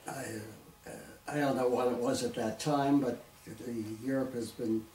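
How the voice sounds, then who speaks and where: Old brooklyn guy in his 90s talking slow w lots of pauses, far off mic in an echoey room. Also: mid-range voice, steady tone, a little mellow. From Brooklyn, New York. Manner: halting, many pauses and throat clears. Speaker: oral history interviewee. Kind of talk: reminiscing interview. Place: living room, seated in armchair. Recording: roomy echo, distant mic, faint hiss.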